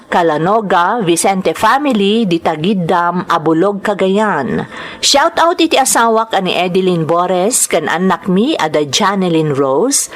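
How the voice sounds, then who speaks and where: A woman with an Ilocano accent reading from a script as host of a radio drama program. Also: mid-range voice.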